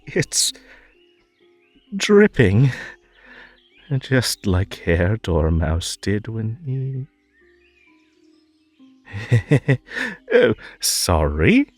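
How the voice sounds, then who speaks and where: Man acting a slow, sugary, possessive magical host. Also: fairly low pitch, wildly swooping pitch, breathy edge, dry recording, soft background music, full character voice. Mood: playful, unsettling. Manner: halting, drawn-out, over-polite, swinging between coaxing and stern. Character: possessive magical tea-party host, sugary polite, menacing, unhinged. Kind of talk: scripted roleplay audio drama.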